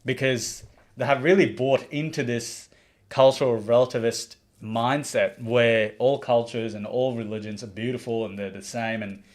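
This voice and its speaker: A man from the Maldives hosts a livestream in a mid-pitched voice. He talks in an earnest, opinionated way, stops to read viewer comments aloud, and often restarts his sentences. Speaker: livestream host.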